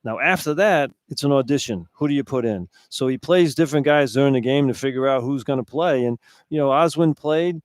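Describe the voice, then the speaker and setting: A man's voice: medium pitch, a little fast, dry recording, clean studio sound. A middle-aged or older man working as a radio color analyst, talking through sports in a blunt, colloquial, emphatic way. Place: indoor arena broadcast position.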